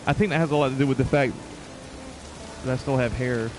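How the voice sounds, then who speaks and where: A man's voice: mid-range voice, fairly quick, background noise. A man in his mid-thirties chatting casually with viewers as a video game livestreamer, in an informal, conversational way. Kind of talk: casual chat with stream viewers.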